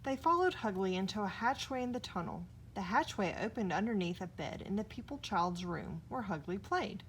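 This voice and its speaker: A woman's voice: mid-range voice, expressive, moderate pace, few pauses, rough recording. A woman narrating a children's story expressively, recorded with noise behind her. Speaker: storybook reader.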